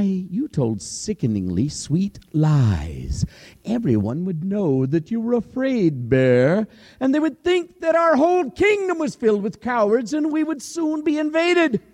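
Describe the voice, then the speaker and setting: A male storyteller voicing a menacing, hungry lion king who speaks slowly and darkly in drawn-out, sinister phrases. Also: high-pitched, huge pitch swings, darkish tone, voice pushed up, heavily acted.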